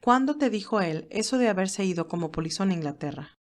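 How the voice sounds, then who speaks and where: Lady doing an older motherly woman in an audiobook, calm, reasoning, gently firm scolding. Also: medium pitch, fairly quick, lightly acted. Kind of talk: acted audiobook dialogue.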